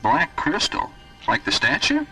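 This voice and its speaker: An actor playing a plain-spoken, uneasy mining director in a radio drama. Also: male voice, on the high side, wildly swooping pitch, measured pace, sharp tone, breathy edge, faint music behind, full character voice, poor audio. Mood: uneasy, curious.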